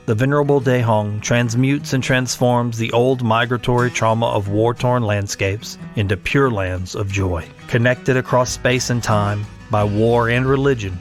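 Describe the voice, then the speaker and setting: A man in the host's chair, his voice sitting at an everyday middle pitch. He reads in a measured, poetic cadence, stacking phrases into rhythmic lists. A faint bed of music runs beneath him. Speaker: podcast host. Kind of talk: scripted podcast intro and interview.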